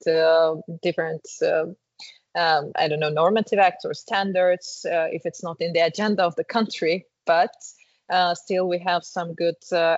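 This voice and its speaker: A woman's voice: deep voice, vocal fry, dry recording, muffled recording. A woman answering interview questions about a development program, hesitant, with many fillers and restarts. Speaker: program representative.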